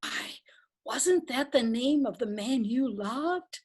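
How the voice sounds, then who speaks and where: A woman reading the part of a quiet, domestic woman and loyal old friend, in a gentle, measured way, for a readers theatre play. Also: on the high side, expressive, husky, exaggerated voice.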